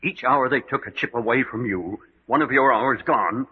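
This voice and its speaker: An actor playing a shrewd, level-headed adventurer who explains things coolly in an old-time radio serial. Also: male voice, medium pitch, normal speed, husky, natural voice. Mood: grave, explaining. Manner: cool, matter-of-fact explaining. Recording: muffled, noisy old radio recording.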